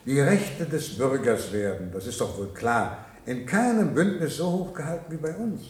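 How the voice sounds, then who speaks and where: An actor playing an authoritative, smoothly evasive project director in a 1970s radio drama, speaking in formal, measured lecturing phrases. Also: male voice, medium pitch, expressive, a little mellow, close to an everyday voice. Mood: firm, justifying. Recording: roomy echo of 1970s radio play.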